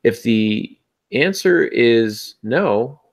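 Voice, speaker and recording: A man's voice: mid-range voice, animated, very slow. A man hosting a podcast, talking conversationally and encouragingly as he gives advice straight to the listener. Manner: encouraging, addresses listener directly. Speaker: podcast host.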